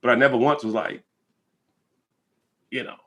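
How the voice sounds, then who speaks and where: Male interview guest, American, mid-pitched and bright-edged. Earnest, emphatic, conversational delivery, checking in with the listener. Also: fairly even intonation, moderate pace. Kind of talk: reflective conversational interview answers.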